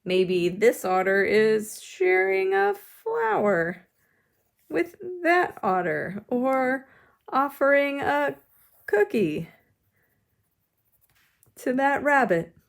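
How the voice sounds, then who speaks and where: A woman leading a drawing lesson, explaining each step simply and with gentle encouragement, as if to children. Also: high voice, big pitch swings, extremely slow, creaky, long pauses. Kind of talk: instructional demonstration, step-by-step.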